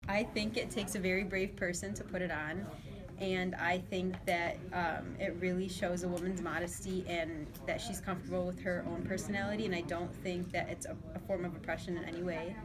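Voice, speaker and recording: A young woman in her twenties answers interview questions casually, filling pauses with "um" and "like" in a slightly low voice. The chatter of a crowd carries clearly behind her. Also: level tone. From Dearborn, Michigan, US. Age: 20s. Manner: chatty, many fillers like "um" and "like". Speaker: student interviewee.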